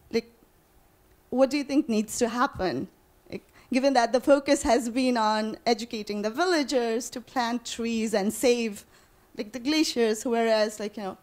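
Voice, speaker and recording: Higher-voiced woman asking a hesitant question. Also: a little mellow. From Nepal. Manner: informal, hesitant, frequent "like". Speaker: audience questioner. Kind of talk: spoken question to a panel.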